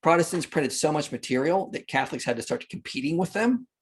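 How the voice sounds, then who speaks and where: A man's voice: fairly high pitch, animated, a little fast, slightly rough. A man speaking as a podcast guest, explaining history and religion in a conversational way and repeating phrases for emphasis.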